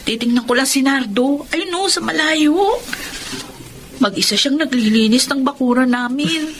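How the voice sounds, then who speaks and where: A woman's voice: high-pitched, moderate pace, a bit bright, a little hiss or hum. An actress with a Filipino accent playing a fisherman's worried, loving wife in a radio drama. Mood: hesitant, curious.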